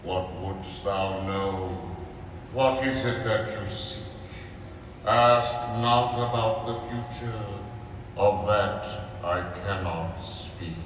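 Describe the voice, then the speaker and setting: A man's voice: lowish voice, takes it slowly. A man playing a solemn spirit in a magic mirror from a cartoon fairy tale, grave and incantatory. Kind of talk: live storybook cartoon performance. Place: large convention hall stage. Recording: very echoey hall from far off, noisy.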